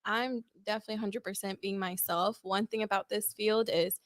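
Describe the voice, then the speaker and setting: Young female creator guest, lively casual storyteller, mid-range voice, dry sound. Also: mid-range voice, moderate pace, no echo. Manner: chatty, animated storytelling with "like" fillers. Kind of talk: casual podcast interview.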